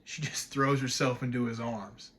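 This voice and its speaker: A man performing a dramatic stage monologue as a wistful man recalling young love, telling it reflectively in short, measured phrases. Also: medium pitch, steady tone, a bit bright, close to an everyday voice. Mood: wistful, hushed. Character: wistful man haunted by a childhood night of love.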